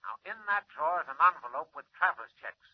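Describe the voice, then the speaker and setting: An actor playing a curt middle-aged crook boss giving clipped orders over the telephone, in 1940s radio drama style. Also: male voice, a little high, expressive, quick pace, husky. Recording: tinny phone line, old radio.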